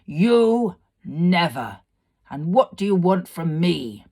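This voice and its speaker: A woman's voice: lowish voice, very animated, slow, mellow, heavily acted. A woman voicing a male fire fiend in a storybook, imperious, mocking and cruel, with a declamatory delivery. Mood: scornful. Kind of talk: dramatised storybook reading.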